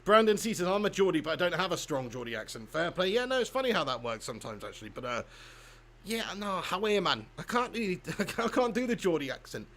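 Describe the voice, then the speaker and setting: A man's voice: high voice, very animated, quick pace, a bit bright. A young man hosting a live football watch-along, chatting casually with viewers and laughing often. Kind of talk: casual live commentary reading chat.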